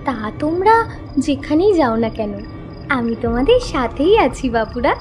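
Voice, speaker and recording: A woman's voice: high-pitched, sing-song, measured pace, darkish tone, faint music behind. A woman playing a slyly over-friendly young village ghost woman in an audio horror story, with drawling, teasing rustic talk. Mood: sly, ominous.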